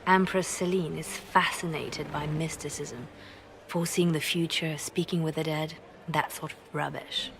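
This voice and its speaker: An actress playing a calm, businesslike female spymaster in a video game cutscene. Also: low voice, normal speed, noisy background. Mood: brisk. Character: cool scheming female spymaster. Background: music.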